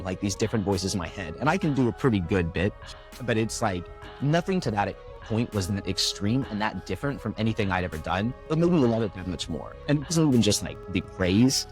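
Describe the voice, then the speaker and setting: A young man talks about his voice work in a relaxed interview, telling stories with lively, swooping intonation. His voice sits a bit low, and he slips into quoted voices as he goes. Soft music plays faintly in the background. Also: fairly quick. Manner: animated storyteller, acts out quoted voices. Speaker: voiceover artist, guest. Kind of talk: casual interview chat.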